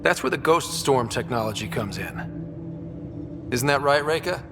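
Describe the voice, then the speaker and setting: An actor playing an earnest young squad leader in a video game, decisive and plain-spoken. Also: male voice, mid-range voice, expressive, unhurried, background noise, natural voice. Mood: determined. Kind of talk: video game cinematic acting.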